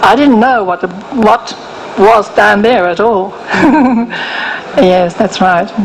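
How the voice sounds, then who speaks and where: Older woman with a soft voice, gentle and frank, pausing and restarting; interview guest, faint hum behind. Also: mid-range voice, low-quality recording. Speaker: guest author. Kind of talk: interview, personal story.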